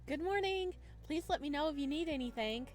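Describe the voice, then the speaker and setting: Woman voicing a bright, friendly young male shop clerk. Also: high voice, expressive, brisk, pitched above the performer's own voice. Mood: cheery greeting.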